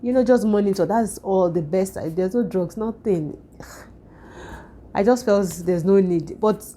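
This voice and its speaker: A woman vlogger with a croaky, hoarse voice, recovering from illness, chatting at home in a relaxed way with frequent fillers and restarts. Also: mid-range voice, measured pace, a little hiss or hum. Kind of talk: casual vlog chat.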